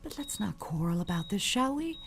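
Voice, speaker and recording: A woman's voice: medium pitch, big pitch swings, brisk, slight background noise. An actress playing an elderly, dying perfume mogul, an imperious and cutting mother with genteel, falsely sweet manners. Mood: quietly scheming. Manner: genteel, commanding, condescending endearments. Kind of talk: audio suspense drama acting.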